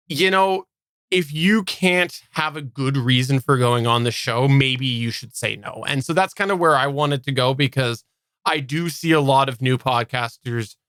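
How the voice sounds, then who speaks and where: A man's voice: mid-range voice, bright, forceful tone, clean tone, dry recording, studio quality. A man co-hosting a casual podcast discussion, giving earnest advice and explaining his points with rhetorical questions.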